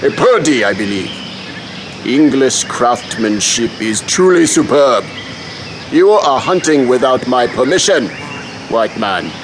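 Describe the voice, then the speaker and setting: An actor playing a menacing slave-trading merchant from Zanzibar, formal, courteous and smoothly imperious, in a radio adventure drama. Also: male voice, medium pitch, sing-song, takes it slowly. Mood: suave menace. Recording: noisy outdoor sound effects behind.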